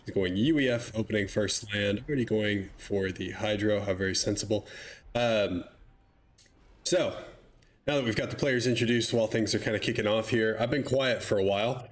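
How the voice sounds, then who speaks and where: A man with a stuffy, slightly nasal voice casting a strategy game match with relaxed, chatty commentary and wry asides. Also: lowish voice, moderate pace. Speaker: game caster.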